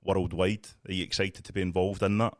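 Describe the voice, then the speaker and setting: A man with a Scottish accent co-hosting a podcast in a small studio, asking friendly questions at a deliberate pace. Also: deep voice, flat delivery, darkish tone, dry recording. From Scotland. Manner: friendly, speaks slowly on purpose. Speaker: podcast co-host. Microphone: studio mic with headphones.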